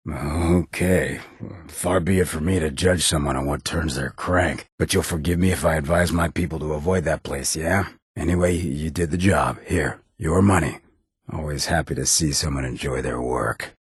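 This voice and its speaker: An actor voicing a sardonic, streetwise ghoul town boss for a video game, with a gravelly rasp and a sly, slangy delivery. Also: male voice, a bass voice, moderate pace, no echo, clean studio sound, exaggerated voice. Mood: cool, approving. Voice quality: gravelly, raspy. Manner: sly, laid-back, slangy. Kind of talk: video game companion voice lines.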